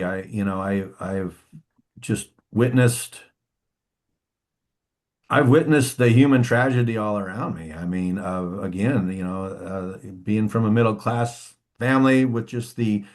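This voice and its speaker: A man who is a guest on a podcast interview, speaking in a halting, reflective way with frequent restarts and "you know". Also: fairly low pitch. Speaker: musician guest. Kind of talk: interview conversation.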